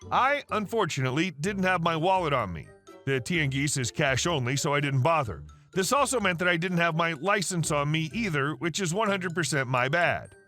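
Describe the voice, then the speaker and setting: Guy narrating reddit stories, high bright voice, soft music in the back. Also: expressive, normal speed. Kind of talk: scripted story narration.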